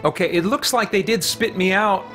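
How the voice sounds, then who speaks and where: A man in his thirties or forties chatting casually on a game livestream, thinking aloud in a halting way. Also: high-pitched, over loud music. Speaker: video game live streamer. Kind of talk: casual livestream chat while gaming. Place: small indoor room with shelves.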